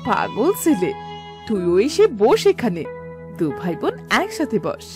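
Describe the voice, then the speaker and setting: A woman's voice: fairly high pitch, very animated, measured pace, over music. A woman playing a doting middle-aged mother who fusses over her children in a Bengali cartoon. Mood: fond, fussing.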